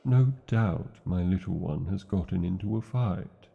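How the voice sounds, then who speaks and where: A man's voice: deep voice, unhurried, creaky, husky, narrow, tinny audio, heavily acted. A man with a British accent voicing a large, devoted, proud male dragon in a fantasy ASMR romance, speaking tenderly with theatrical, formal wording. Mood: worried, urgent.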